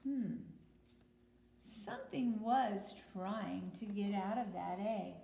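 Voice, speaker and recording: A woman teacher talks to young children in slow, simple phrases. Her voice has noticeable creak, and the room is echoey with some hiss behind her. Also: mid-range voice, mellow. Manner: simple, deliberate phrasing with questions to young listeners. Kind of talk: picture-book read-aloud and talk to young children. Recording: roomy echo with noticeable hiss.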